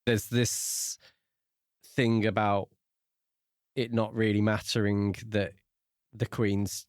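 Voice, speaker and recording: A man co-hosting a podcast, talking through a book in a measured, thoughtful, conversational way. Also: lowish voice, flat delivery, clean tone, dry recording, clean studio sound. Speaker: podcast co-host. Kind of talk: casual book discussion.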